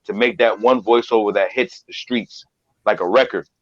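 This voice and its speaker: A man's voice: lowish voice, steady tone, normal speed. Earnest man with dull lo-fi sound. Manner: earnest, repeats phrases for emphasis. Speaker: podcast guest, voiceover artist. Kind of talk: casual interview talk.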